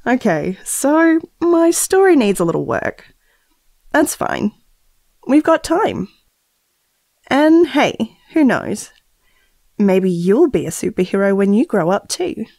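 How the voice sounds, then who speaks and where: A woman playing a tender, earnest expectant mother talking to her baby in a gentle, wry, musing way in an audio drama. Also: mid-range voice, big pitch swings, takes it slowly, gravelly, long pauses, no room sound, clean studio sound, full character voice. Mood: wry, fond. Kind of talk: audio drama monologue, intimate voice message.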